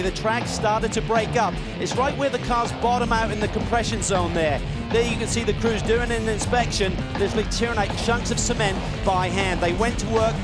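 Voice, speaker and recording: High-toned emphatic racing analyst man. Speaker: color commentator. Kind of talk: live race analysis. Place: broadcast booth.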